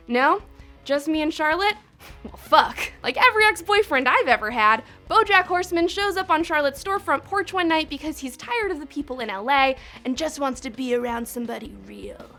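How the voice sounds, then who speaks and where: High-voiced snarky woman host. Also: sharp tone. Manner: sarcastic, profane, conversational. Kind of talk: snarky countdown commentary.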